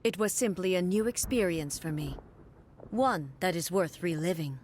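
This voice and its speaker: A woman voicing a stern, dutiful but softening warrior puppet in a video game, formal, measured and reserved. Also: fairly low pitch, lively intonation, noisy background, close to an everyday voice. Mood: reserved, reflective.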